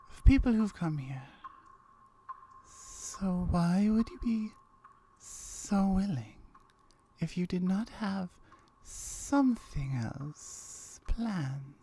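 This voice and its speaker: A woman voicing a lonely, wary gorgon with slyly flirtatious murmurs and drawn-out syllables, in an ASMR roleplay. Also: on the low side, mellow, a little hiss or hum.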